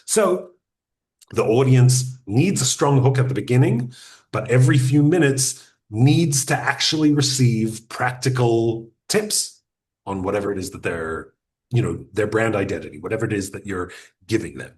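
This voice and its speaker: A man at a mid-range pitch, coaching an audience on podcasting in an unhurried, conversational style. He speaks deliberately, lets pauses sit, and gives direct, practical advice. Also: animated, a little mellow. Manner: deliberate, uses pauses and restarts. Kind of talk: conversational teaching talk.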